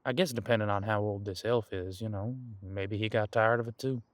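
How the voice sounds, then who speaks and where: A man's voice: fairly low pitch, fairly even intonation, brisk, dry recording, lightly acted. A man playing an easygoing, thousands-of-years-old demon detective in an audio roleplay, chatting in a laid-back, folksy, musing way. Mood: relaxed, musing. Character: easygoing ancient male demon detective living on the coast. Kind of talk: conversational one-sided audio roleplay.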